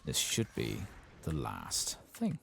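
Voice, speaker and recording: A man softly murmuring casual ASMR commentary over a video game, close to the microphone. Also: a bass voice, wildly swooping pitch, slow, creaky, a little hiss or hum. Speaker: gameplay streamer.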